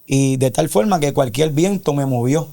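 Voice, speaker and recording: A man's voice: on the high side, moderate pace, creaky, dark tone. A man giving a personal religious testimony as an interview guest, earnest and a little preacherly.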